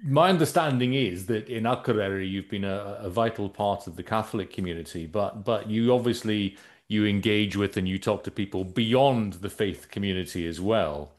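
A man hosting a radio interview, speaking in a clear, measured way. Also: lowish voice. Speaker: radio host. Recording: radio broadcast with music bed.

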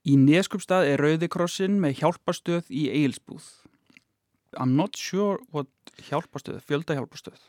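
A man's voice: fairly high pitch, normal speed, darkish tone, dry recording, studio quality. A man with an Icelandic accent reading and translating simple news, a little hesitant as he searches for words. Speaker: news writer and language-teaching guest.

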